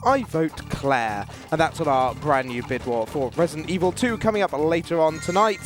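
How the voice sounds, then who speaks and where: A man's voice: fairly high pitch, moderate pace, music in the background. A man hosting a live gaming marathon, reading out donation messages to the audience. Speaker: event host.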